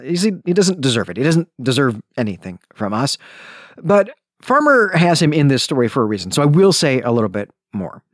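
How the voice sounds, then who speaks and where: A man hosting a podcast, giving book commentary in an emphatic way, repeating phrases deliberately for stress. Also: mid-range voice, sing-song, dry recording, clean studio sound. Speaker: podcast host.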